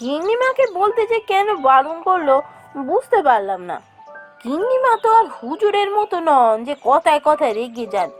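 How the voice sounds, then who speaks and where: Village housemaid and wife for a cartoon, acted by a woman: high, caring, fretful, deferential. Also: sing-song, moderate pace, faint music behind. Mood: puzzled, resigned. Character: caring, worried village wife working as a maid. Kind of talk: cartoon voice acting.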